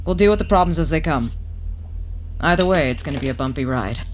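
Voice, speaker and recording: An actor playing a calm, take-charge smuggler pilot in a sci-fi audio drama. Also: a little fast, close to an everyday voice. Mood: steady. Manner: steady, wry, take-charge. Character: calm, commanding smuggler pilot of a desert craft. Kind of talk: full-cast audio drama acting. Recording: music bed, vehicle rumble behind.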